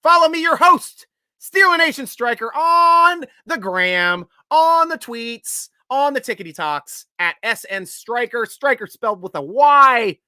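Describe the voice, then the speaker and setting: Guy hosting a live sports show, bright punchy voice, dry close sound, jokey, loud shout-outs. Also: lively intonation, unhurried, clean tone, no echo. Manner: loose and joking, swings from loud shout-outs to low mutters. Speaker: host. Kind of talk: live sports show chat reading viewer comments.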